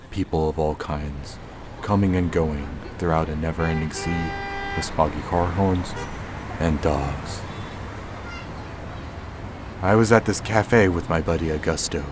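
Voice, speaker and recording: An actor playing a weary, bitter officer who narrates an animated drama in a brooding, scripted noir manner. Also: male voice, a bass voice, background noise. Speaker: narrator and main character.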